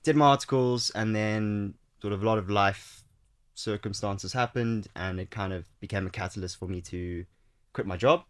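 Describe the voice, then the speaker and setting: A young man with a South African accent and a fairly low voice, speaking as a guest on a podcast. He talks in a relaxed, chatty way, full of fillers and restarts. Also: moderate pace. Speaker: guest, startup founder. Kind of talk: casual interview chat.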